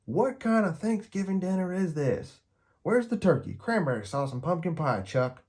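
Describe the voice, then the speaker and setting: A man's voice: sits high, very animated, normal speed, a little mellow, voice pushed up. A man voicing a bossy, blunt young girl with a demanding, complaining manner in a storybook reading. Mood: indignant.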